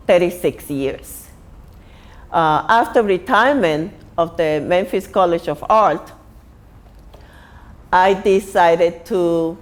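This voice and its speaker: A woman in her sixties from Puerto Rico, with a Puerto Rican accent, telling her life story as an artist and printmaking teacher. She speaks in an unhurried way, with many pauses and restarts. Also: lowish voice, darkish tone. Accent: Puerto Rican Spanish. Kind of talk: personal life story told to camera.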